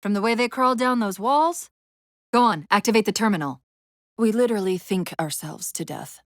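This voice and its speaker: A woman playing a brisk, wry, urgent military AI companion in a video game, giving crisp commands and tactical briefings. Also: medium pitch, dry recording, clean studio sound, lightly acted. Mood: brisk, focused.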